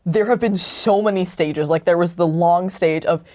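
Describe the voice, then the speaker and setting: Woman, slightly low pitch, casual interview chat, creative project lead, expressive, frequent "like". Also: normal speed. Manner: animated retelling with acted-out quotes, frequent "like". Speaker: creator of an audio drama.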